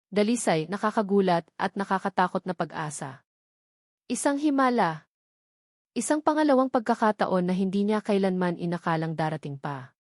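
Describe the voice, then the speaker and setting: A woman storyteller giving a solemn, deliberate, scripted narration of a dramatic love story. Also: mid-range voice, darkish tone, no echo, clean studio sound.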